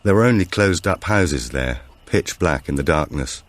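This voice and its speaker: A man narrates a thriller audiobook. His voice sits extremely low and moves expressively in pitch. The delivery is scripted, dramatic storytelling. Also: expressive, moderate pace, no echo.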